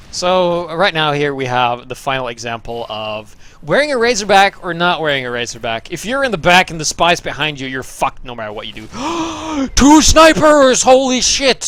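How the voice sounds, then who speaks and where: Bright-voiced Norwegian gaming commentator. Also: male voice, on the high side. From Norway. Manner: loose, profane and sarcastic. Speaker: game commentator. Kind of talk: casual commentary over gameplay replays.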